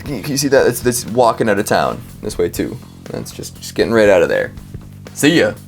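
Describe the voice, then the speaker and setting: Low male voice, wry rambling host, casual comedic reactions, animated, music behind. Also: fairly quick, gravelly. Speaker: host reacting to scary clips.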